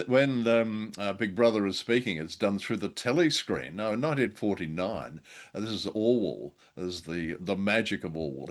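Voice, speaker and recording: A man co-hosting a casual discussion show, speaking in a hesitant, wry, self-deprecating way. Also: fairly low pitch. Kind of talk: casual discussion of an old radio drama.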